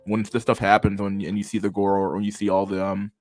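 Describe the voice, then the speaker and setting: A man in his twenties with a stammer and a lisp, chatting casually to his livestream chat, halting and restarting words and swearing freely. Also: lowish voice, completely flat delivery, a little mellow, faint music behind. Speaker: livestream host reading chat.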